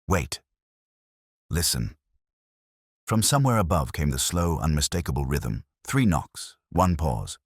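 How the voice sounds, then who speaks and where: Very deep male mystery narrator. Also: very animated, normal speed, few pauses, slightly rough, dry recording, studio quality. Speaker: audiobook narrator. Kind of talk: scripted dramatic mystery narration.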